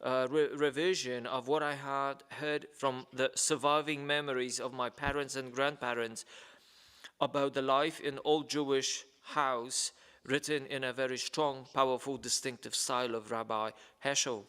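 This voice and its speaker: A man's voice: mid-range voice, level tone, normal speed, sharp tone, clean tone. Hesitant man reading in a reverberant hall. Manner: hesitant, many fillers, stumbles on names. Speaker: reading a guest's letter aloud at a ceremony. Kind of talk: formal speech read aloud. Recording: long reverb of a large hall.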